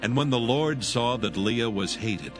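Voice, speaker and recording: A man's voice: mid-range voice, measured pace. Solemn male scripture narrator over music.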